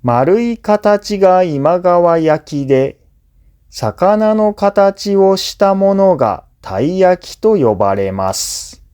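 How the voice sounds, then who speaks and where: Slow, mellow male narrator. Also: high voice, big pitch swings. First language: Japanese. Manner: measured, clearly phrased explanatory delivery. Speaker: language teacher reading listening practice. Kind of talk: scripted educational narration.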